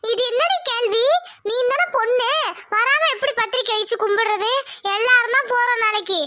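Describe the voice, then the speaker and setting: A woman's voice: extremely high pitch, normal speed, bright, forceful tone, a little hiss or hum, pitched above the performer's own voice. A woman playing a tearful village mother. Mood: scolding, fond. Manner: tearful, fretful. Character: anxious village mother grieving lost wedding savings.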